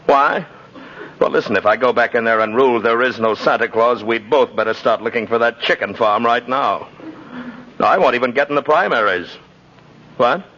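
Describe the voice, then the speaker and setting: A man's voice: mid-range voice, normal speed, pushed and bright. An actor playing a worried judge who fears for his job, hesitant and exasperated, in 1950s radio drama style. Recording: dull old 1950s radio recording.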